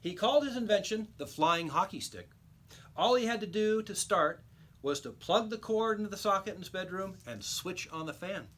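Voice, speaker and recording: A man reading a children's storybook aloud like a teacher, with expressive, emphatic storytelling. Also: high-pitched, moderate pace.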